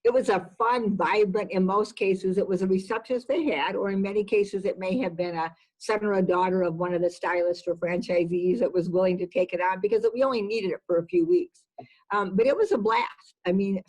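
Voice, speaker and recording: A woman's voice: mid-range voice, fairly even intonation, brisk, a little mellow, poor audio. A woman executive giving conversational interview answers, emphatic and repeating words for stress. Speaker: business executive being interviewed.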